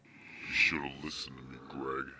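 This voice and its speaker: A man voicing a chilling dark monster in a horror story, menacing and taunting, with a very deep, gravelly voice and slow, ominous delivery. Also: little pitch movement, heavily acted. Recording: music behind the voice.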